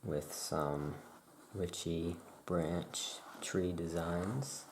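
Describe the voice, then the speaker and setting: A woman speaking softly in an unhurried, explanatory murmur, playing a witch shopkeeper in an ASMR roleplay. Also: rumbling low, a little hiss or hum. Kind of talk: soft-spoken ASMR shop roleplay.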